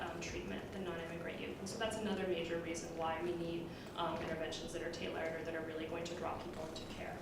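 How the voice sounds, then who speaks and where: A woman researcher giving an academic lecture with slides in a semi-conversational, explanatory way, with frequent ums and you-knows. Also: lowish voice. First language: bilingual, Puerto Rican background. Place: indoor lecture room. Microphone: distant room microphone. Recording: roomy echo, distant mic, clear background noise.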